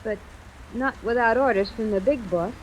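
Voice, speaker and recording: A woman's voice: on the high side, normal speed, a little hiss or hum, lightly acted, poor audio. Woman as defiant young captive, radio drama. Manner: spirited, defiant. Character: Mary Sullivan, defiant young female kidnap victim. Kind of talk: 1930s radio drama acting.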